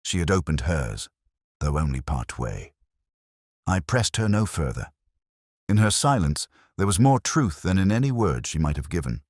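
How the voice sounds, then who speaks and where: Very deep-voiced man narrating a solemn mystery. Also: sing-song, darkish tone, slightly rough, no room sound, clean studio sound. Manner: solemn, measured, reflective. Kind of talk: scripted literary first-person mystery narration.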